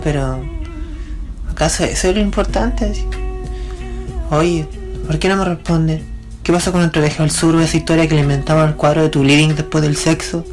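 Woman as hurt Chilean teenage boy, slightly low. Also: close to an everyday voice. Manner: colloquial, confrontational. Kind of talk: radio drama acting.

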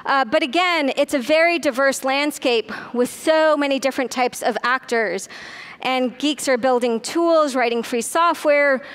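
A middle-aged woman giving a conference keynote lecture on a hall stage, telling the story briskly with frequent ums and uhs. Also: sits high, fairly bright tone. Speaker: keynote speaker.

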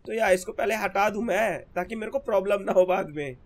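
Hoarse high-voiced young man gaming. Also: fairly quick. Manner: chatty, keeps calling viewers brother. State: hoarse from a sore throat. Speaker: gaming channel host. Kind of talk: casual gameplay commentary.

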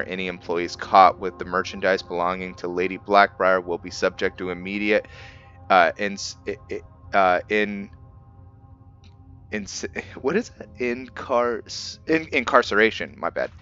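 Male gameplay host, low and bright voice, thinking aloud in halting casual commentary; lo-fi sound over game music. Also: steady tone, clear, resonant tone. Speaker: host of a video game roleplay series.

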